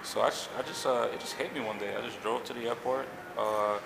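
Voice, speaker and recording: A man from Haiti in his thirties, a pilot and jet company owner, telling his story in a relaxed, rambling interview with lots of fillers. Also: medium pitch, fairly quick, vocal fry. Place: outdoors on a city street. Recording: echoey with loud background noise.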